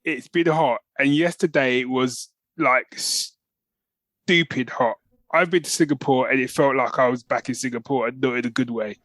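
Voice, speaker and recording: A man's voice: medium pitch, steady tone, moderate pace, a bit bright, dry recording. A middle-aged man, co-hosting a podcast in casual, matey, jokey banter. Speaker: podcast co-host.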